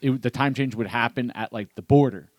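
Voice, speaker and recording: A man guesting on a podcast, telling long anecdotes with excited bursts. His voice is of middle pitch, recorded dry and close. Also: fairly quick, no room sound. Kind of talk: casual chat.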